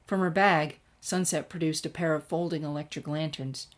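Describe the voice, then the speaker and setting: Low-voiced woman reading a story. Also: steady tone, moderate pace. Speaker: fan fiction reader. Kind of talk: expressive scripted story narration.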